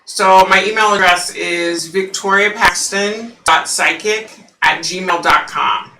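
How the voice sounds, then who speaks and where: Woman, scratchy gravelly voice, very bright tone, rambling live-stream chat, roomy echo, distant mic. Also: medium pitch, fairly even intonation, slow pace. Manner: rambling, chatty, many fillers. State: scratchy, husky voice. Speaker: psychic livestream host.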